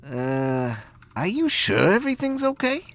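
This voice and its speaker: A man's voice: a little high, huge pitch swings, measured pace, fairly bright tone, pitched above the performer's own voice, exaggerated voice. A man voicing a casual, concerned young male friend in a science fiction audio drama, informal and tentative.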